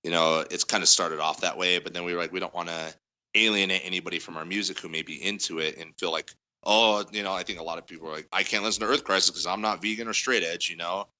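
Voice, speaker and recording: A man with a very deep but strikingly bright, loud voice talks through a story in a casual interview. He rambles, circling back with frequent fillers and restarts. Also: fairly even intonation, fast, rough recording. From Denver area. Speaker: guest musician.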